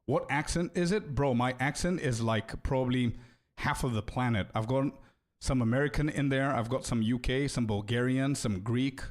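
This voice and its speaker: A young man streaming a video game and chatting casually with viewers, loose and friendly, often calling them bro and repeating words as he thinks out loud. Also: mid-range voice, normal speed. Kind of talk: casual live-stream chat, answering viewers.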